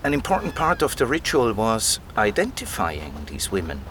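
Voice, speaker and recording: A man's voice: fairly low pitch, huge pitch swings, normal speed, a bit bright, slight background noise. An actor playing a keen, patient male scholar who explains things like a storyteller in an audio drama. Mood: keen, explaining. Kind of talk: conversational audio drama dialogue.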